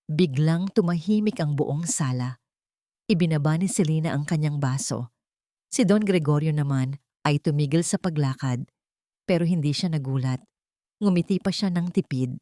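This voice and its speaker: A woman reads a dramatic first-person romance story as its narrator. Her voice is fairly low and very mellow, in a very dry recording with no room sound. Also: normal speed, dry recording.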